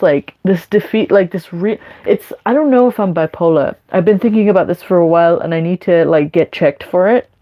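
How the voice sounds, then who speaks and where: A woman's voice: fairly low pitch, warm tone. A young woman live streaming, talking candidly and rambling with many fillers and restarts.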